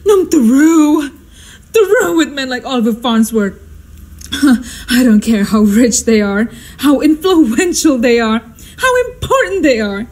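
Woman acting a histrionic, high-voiced society hostess. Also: expressive, slow, darkish tone, full character voice. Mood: exasperated, indignant. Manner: theatrical, exclamatory, flustered. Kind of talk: stagey radio drama acting.

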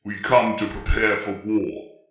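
A man voicing a huge, grim space marine sergeant with an impossibly deep, rumbling voice and a blunt, commanding delivery. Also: little pitch movement, a little slow, fairly bright tone, reverberant.

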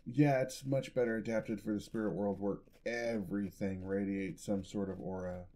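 A man playing a gentle, sheepish, affectionate headless horseman boyfriend in a soft-spoken ASMR roleplay, with hesitant delivery. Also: lowish voice, fairly even intonation, normal speed, lightly acted. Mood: thoughtful, explaining. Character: gentle, clumsy male dullahan boyfriend, centuries old. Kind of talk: ASMR roleplay, intimate acted monologue.

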